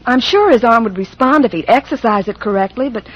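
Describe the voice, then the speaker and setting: A woman's voice: a little high, expressive, a little fast. A woman playing a concerned, patient clinic therapist in an old western radio drama, earnest and hesitant. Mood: worried. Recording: muffled vintage radio recording.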